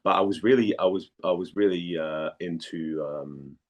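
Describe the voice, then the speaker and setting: A man from Denmark chatting casually as an interview guest, haltingly searching for words and repeating phrases. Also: low-pitched, a little mellow. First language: Danish.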